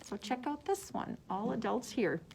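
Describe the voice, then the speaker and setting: A woman's voice: fairly high pitch, lively intonation, normal speed, creaky, a little mellow, a little hiss or hum. A woman librarian presenting book recommendations, partly reading summaries aloud.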